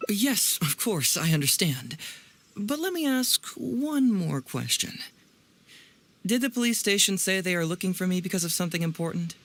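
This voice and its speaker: A man's voice: high voice, big pitch swings, moderate pace, a bit bright, exaggerated voice. An actor playing a clever, playful young male detective in an anime video game, musing theatrically and teasingly. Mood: confident, playful.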